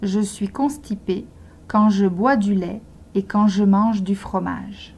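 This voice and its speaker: A woman slowly reading simple model sentences aloud for beginner language learners, pronouncing each one with care. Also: medium pitch, fairly even intonation, a little mellow, slightly rough. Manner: slow, carefully articulated dictation. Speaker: language teacher.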